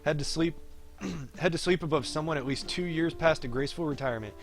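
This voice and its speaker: A man's voice: on the high side, brisk, close to an everyday voice. A man voicing a wry, cocky young pro gamer from a visual novel, teasing and breezy. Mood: teasing, wistful. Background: game music.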